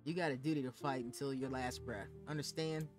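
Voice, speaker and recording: A man's voice: mid-range voice, fairly even intonation, fairly quick, music in the background, natural voice. A man putting on a crude fierce old grandmother. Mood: stern. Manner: gruff, vulgar drawl. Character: ancient woman, crude, fierce, protective grandmother.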